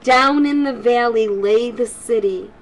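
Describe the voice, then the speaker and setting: An older woman, a grandmother, speaking to children in an expressive, homely storytelling style. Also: a little high, unhurried, low-quality recording. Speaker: grandmother reading stories for children. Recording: home recording with faint background noise.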